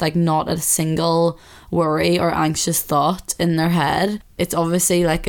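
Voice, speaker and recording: A woman in her twenties from the UK with a slightly husky voice, hosting a podcast in relaxed casual chat from her bedroom. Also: sits low. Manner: chatty, relaxed, lots of fillers. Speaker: podcast host. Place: her bedroom, sitting on bed.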